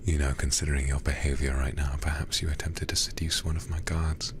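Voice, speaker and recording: A man playing a teasing, menacing demon lord in an ASMR roleplay, speaking slowly and mockingly, often dropping into whispers. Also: extremely low pitch, level tone, noisy background. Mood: amused menace. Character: sinister, teasing demon lord interrogating a prisoner.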